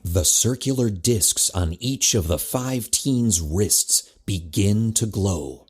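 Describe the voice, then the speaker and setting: A man's voice: lowish voice, expressive, takes it slowly, darkish tone, husky. A man reading scripted narration for an audio drama, describing the action as narrator.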